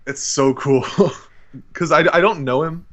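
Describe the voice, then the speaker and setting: A man's voice: fairly high pitch, fairly even intonation, a little slow. A man chatting casually and enthusiastically as a podcast guest, in an informal way. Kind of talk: casual chat.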